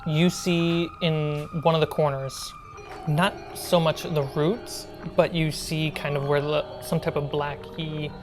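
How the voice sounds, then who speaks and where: Slow, low-voiced woman running a game. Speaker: game master. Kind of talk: narrating a tabletop role-playing game. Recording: music bed behind the voice.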